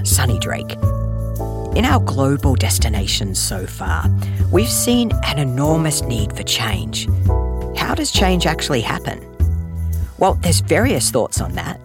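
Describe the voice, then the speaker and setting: A podcast host reading scripted narration in a chatty way, addressing the listener directly. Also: medium pitch. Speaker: host and series creator. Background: music.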